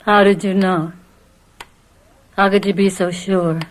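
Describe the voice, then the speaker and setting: An actress playing a defiant, determined wife desperate to escape, speaking with urgent, accusing insistence in an old radio mystery drama. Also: female voice, medium pitch, little pitch movement, unhurried, close to an everyday voice, poor audio. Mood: outraged.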